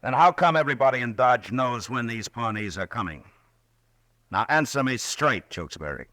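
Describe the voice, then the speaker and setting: An actor playing a calm, stern frontier marshal in a 1950s radio drama, speaking steadily and firmly. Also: male voice, mid-range voice, normal speed, dry recording, studio quality. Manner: steady, level-headed, firm.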